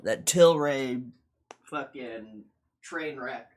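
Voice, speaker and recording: Slow-talking, foul-mouthed man streaming. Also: mid-range voice. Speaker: stock market livestreamer. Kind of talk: casual livestream commentary, thinking aloud.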